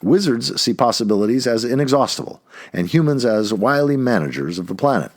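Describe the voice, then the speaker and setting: A man reading an essay aloud in an emphatic way, stressing key words, with a husky voice. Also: mid-range voice, big pitch swings, a little fast. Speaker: reader of an essay. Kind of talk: read-aloud essay narration.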